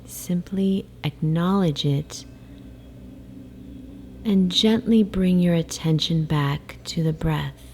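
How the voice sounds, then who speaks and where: A woman guiding a sleep meditation in a soft, soothing, hypnotic way, with breathy phrase endings and long pauses. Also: on the low side. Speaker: meditation guide. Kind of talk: guided sleep meditation, slow hypnotic narration. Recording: faint background noise, ocean waves. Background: ocean wave sounds.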